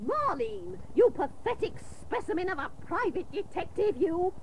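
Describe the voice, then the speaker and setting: An actress playing a bossy, exasperated aunt who scolds and insults theatrically in a comic radio drama. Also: female voice, extremely high pitch, sing-song, normal speed, full character voice. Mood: scornful, exasperated. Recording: noisy old cassette of radio broadcast.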